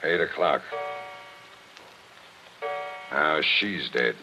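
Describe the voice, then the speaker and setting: An actor with an American accent playing a blunt, insistent police captain in a 1940s radio mystery. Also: male voice, extremely low pitch, extremely slow, over music, heavily acted. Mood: grave. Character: hard-boiled police captain, forceful, revealing the truth. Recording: muffled vintage radio broadcast recording.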